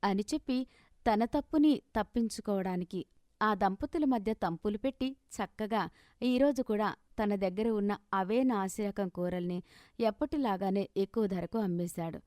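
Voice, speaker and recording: A woman with a medium-pitched voice narrates a scripted moral story. Her delivery is measured and expressive, and the recording is dry with no room sound. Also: dry recording.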